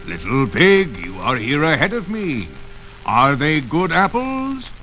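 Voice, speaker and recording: A man's voice: sing-song, bright, forceful tone, soft background music, exaggerated voice, poor audio. A man reading a storybook and voicing a sly, menacing wolf who drags out his words. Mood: sweet-talking. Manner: slow, drawn-out and booming.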